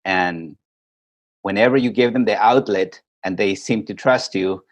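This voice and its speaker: Reflective man with a low voice. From Peru. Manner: reflective, unhurried storyteller. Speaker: podcast guest. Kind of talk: casual interview chat. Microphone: calling in remotely.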